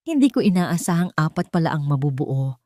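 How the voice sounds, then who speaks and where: A woman's voice: low voice, very animated, fairly quick, mellow, no echo, studio quality. A woman with a Filipino accent voicing a scheming, bitter, obsessive woman in love in an audio drama, emphatic and theatrical. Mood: cold, boastful.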